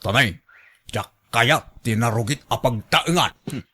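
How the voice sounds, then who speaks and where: A man's voice: mid-range voice, animated, unhurried, sharp tone. A man with an Ilocano accent playing a bossy, demanding elderly man in a radio drama, blunt and nagging.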